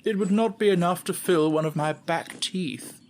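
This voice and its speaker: A man's voice: high-pitched, moderate pace, slight background noise, pitched above the performer's own voice, close to an everyday voice. A man voicing a hungry, grumbling talking tiger in an audiobook. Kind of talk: audiobook character voice.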